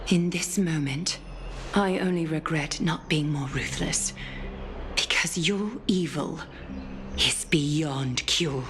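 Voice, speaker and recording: An actress playing an ageless, dignified demigod lady in a video game, speaking in a measured, formal, coolly disdainful way. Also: low-pitched, background noise. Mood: cold, stern. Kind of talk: dramatic video game voice acting.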